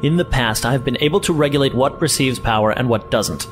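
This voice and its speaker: A man's voice: mid-range voice, quick pace, natural voice. A man playing a composed, defensive professor in a science fiction audio drama, speaking formally and explaining things articulately. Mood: grave. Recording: loud music behind the voice.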